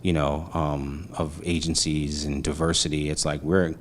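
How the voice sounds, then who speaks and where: A man's voice: a bass voice, measured pace, slight background noise. A man, chatting casually as an interview guest, loose and slangy with lots of fillers. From Brooklyn, New York. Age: 40s. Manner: relaxed, slangy, many fillers.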